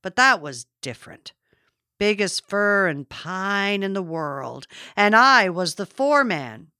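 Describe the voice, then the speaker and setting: A woman voicing a boastful, excitable old male farmer reminiscing in a folksy rustic dialect, with emphatic, drawn-out boasts. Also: medium pitch, smooth, no echo, clean studio sound, full character voice. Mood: defensive, boastful.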